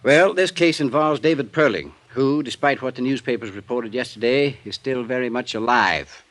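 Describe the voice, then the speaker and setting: An actor playing a meticulous American insurance executive, polite and measured, in vintage radio drama style. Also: male voice, mid-range voice, a bit bright, lightly acted. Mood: guarded, confiding. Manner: measured, polite, hesitant when confiding. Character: courteous, meticulous, guarded male insurance company executive. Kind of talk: 1940s-50s radio acting.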